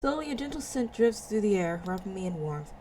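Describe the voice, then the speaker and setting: A woman reading a visual novel aloud as its player, dramatic but halting over words, with casual asides. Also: mid-range voice, sing-song, a little mellow, slight background noise. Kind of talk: reading game text aloud with casual reactions. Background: music.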